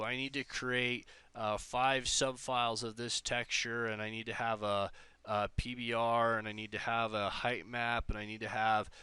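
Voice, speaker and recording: A man presents an informal tech demo, thinking aloud as he goes. His voice is crisp and bright at a middling pitch. The sound is very dry, with no room around it. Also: no echo. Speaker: presenter demonstrating an AI prototype. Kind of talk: casual tech demo talk.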